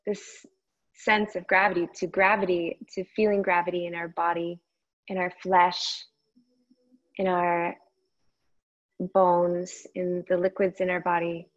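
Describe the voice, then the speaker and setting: Slow-paced woman guiding meditation, muffled audio. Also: on the low side. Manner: gentle, unhurried, with long pauses between short phrases. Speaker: yoga and meditation teacher. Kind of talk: guided meditation instruction.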